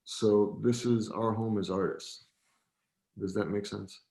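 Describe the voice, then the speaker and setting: Brief: man, guest on a video call, easygoing and joking, voice on the low side. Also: slow pace. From Romania. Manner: relaxed, friendly, joking. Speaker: guest, gallery founder. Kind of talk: casual video-call interview.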